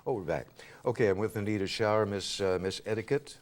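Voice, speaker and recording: A man's voice: lowish voice, fast. A man hosting a cable talk show, chatting with a guest in a studio, joking and reading out listener questions. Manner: joking, teasing, reads listener questions aloud. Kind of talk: casual studio chat with a guest.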